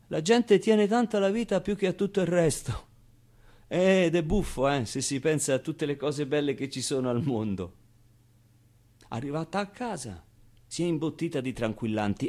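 A man playing a candid, streetwise orphan boy, higher-pitched. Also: darkish tone, close to an everyday voice. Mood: tender, reflective. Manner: halting, confiding, colloquial, with small interjections. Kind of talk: theatrical monologue, storytelling to the audience.

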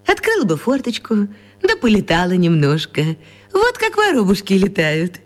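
A woman's voice: mid-range voice, a little mellow, full character voice. An actress playing a flustered, kindly, eccentric old lady who muses theatrically in a children's radio play. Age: older. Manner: drawn-out, theatrical, hesitant musing.

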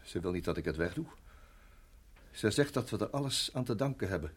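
A troubled middle-aged father in a radio play. His voice sits low and is rough and husky. He speaks hesitantly, repeating words and trailing off, as if shaken. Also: animated, fairly quick. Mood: haunted, brooding. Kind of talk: radio drama acting.

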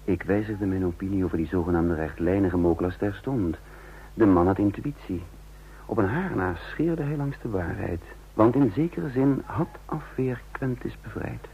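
An actor playing a shrewd, calculating undercover intelligence officer in a radio drama, speaking in a measured, formal, husky voice. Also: male voice, on the low side, expressive. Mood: reflective, calculating. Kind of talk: radio drama acting and narration. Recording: dull lo-fi old radio recording.

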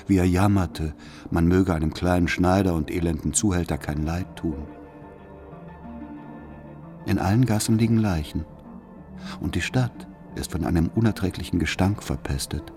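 An actor playing a cold, servile spy, reading out his formal, measured report to his master in a historical radio drama. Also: low voice. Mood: grave, cold.

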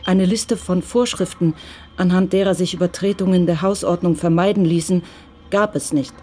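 Dark, low-voiced woman narrator. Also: fairly even intonation, moderate pace. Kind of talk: scripted radio play narration.

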